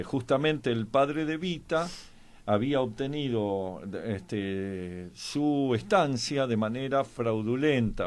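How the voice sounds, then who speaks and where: Argentine man explaining history haltingly. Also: medium pitch. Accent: Argentine Spanish. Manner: halting, explanatory, with drawn-out pauses. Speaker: guest commentator on history. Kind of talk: informal historical discussion.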